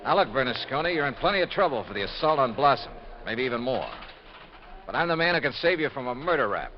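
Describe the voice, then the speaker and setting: A male actor plays a world-weary investigator in an old radio mystery. His medium voice moves widely in pitch, brisk, clipped and probing. The recording is dull with light background noise. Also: sing-song, lightly acted. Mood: tough, pressing. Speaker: lead actor. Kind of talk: 1950s radio detective drama acting.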